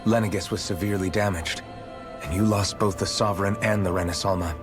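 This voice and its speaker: A man's voice: low voice, steady tone, fairly quick, over music, lightly acted. A man playing an earnest, resolute young hero in a video game cutscene, speaking seriously. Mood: grave.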